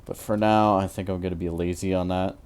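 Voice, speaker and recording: A tired man in his twenties with a naturally deep voice, rambling through casual commentary while he builds in a game, full of fillers and restarts. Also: level tone, fairly quick, a little mellow, clean tone. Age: 20s. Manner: rambling, hesitant, many fillers. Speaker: Minecraft YouTuber.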